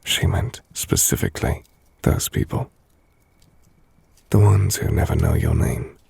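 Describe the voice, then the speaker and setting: Gentle, brooding male vampire noble, acted by a man, ASMR roleplay. Breathy, husky, unhurried and measured, close and intimate. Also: a bass voice, wildly swooping pitch, no echo, heavily acted. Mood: reflective, gentle. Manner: slow, hushed, measured, formal. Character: brooding, gentle, melancholy male vampire-blooded castle lord. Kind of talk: ASMR audio roleplay, intimate scripted monologue.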